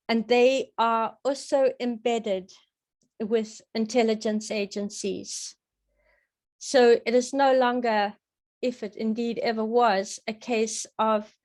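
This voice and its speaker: Female interview guest, slightly high voice, unhurried and deliberate, earnest commentary with pauses. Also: darkish tone. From South Africa. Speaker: interview guest, political analyst and website creator. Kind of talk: interview answers, measured commentary.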